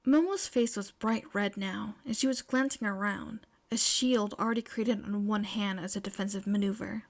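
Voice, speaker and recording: A woman reading scripted fiction aloud as an expressive podfic narrator. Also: mid-range voice, normal speed.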